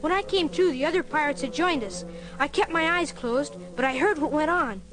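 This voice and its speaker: A man's voice: very high-pitched, moderate pace. A boy actor playing an earnest, brave young adventurer who narrates his own tale dramatically. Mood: tense, dramatic. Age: child or young teen. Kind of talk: dramatic first-person story narration. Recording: orchestral music behind.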